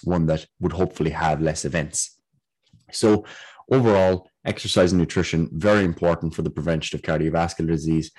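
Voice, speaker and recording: A young man co-hosting a podcast, a trainee doctor explaining health topics in a measured, casual way. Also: low voice, a little mellow. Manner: explanatory, measured, occasional fillers.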